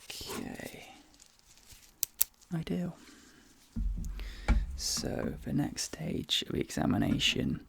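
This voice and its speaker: Breathy whispering ASMR man, heavy creak. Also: medium pitch. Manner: soothing, reassuring, hesitant murmured pauses. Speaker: ASMR performer role-playing a dentist. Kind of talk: ASMR roleplay, soft whispering and murmuring. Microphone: close microphone, dry.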